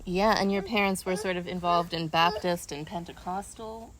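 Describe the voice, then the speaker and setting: Low-voiced woman hosting a casual interview. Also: fairly even intonation, normal speed, a crowd in the background, poor audio. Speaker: host, interviewer. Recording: noticeable ambient background noise.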